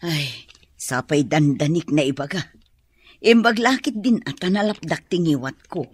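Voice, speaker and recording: Lively, swooping easygoing mother. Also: low voice, normal speed, slightly rough. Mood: obliging. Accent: Ilocano. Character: easygoing, sociable middle-aged mother. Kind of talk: Ilocano radio drama acting.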